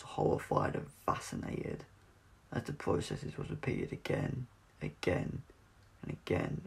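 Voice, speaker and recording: A man's voice: a bass voice, gravelly, husky. A man narrating an adventure audiobook from a script, with the occasional stumble as he reads aloud. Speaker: audiobook reader.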